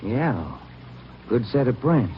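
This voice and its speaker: Grumbling wisecracking cop partner. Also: male voice, medium pitch, sing-song, slow, slight background noise. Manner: dry, grumbling. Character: wisecracking weary male detective partner. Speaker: police detective partner. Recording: muffled vintage radio broadcast.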